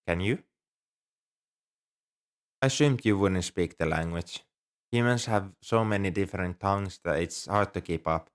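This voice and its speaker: A man's voice: fairly low pitch, natural voice. A man playing an ancient, polite, nerdy dragon who collects coins, speaking earnestly and a little primly to the listener in an ASMR roleplay. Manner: polite, earnest, slightly prim and scholarly. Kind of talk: ASMR roleplay, one-sided dialogue with the listener.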